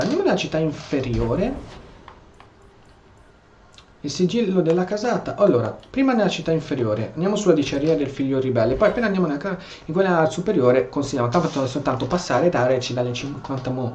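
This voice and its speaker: A man's voice: on the high side, lively intonation, fast, darkish tone, a little hiss or hum. A man giving casual gameplay commentary, stumbling over and repeating half-said words. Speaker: gameplay streamer. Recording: roomy, muffled mic over game music.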